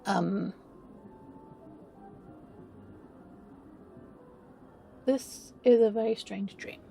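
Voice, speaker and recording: A woman playing a flustered, smitten, playfully scheming best friend in an intimate romantic audio roleplay, speaking in halting, stammering bursts. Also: a little high, vocal fry, a little mellow, full character voice. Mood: flustered disbelief.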